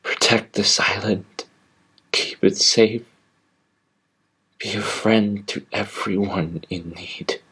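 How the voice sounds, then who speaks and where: A man voicing a gentle, loyal small male engine who is dying, speaking in weak, slow, breathy whispers. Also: fairly low pitch, fairly even intonation, full character voice. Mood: tender. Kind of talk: fan audio drama acting.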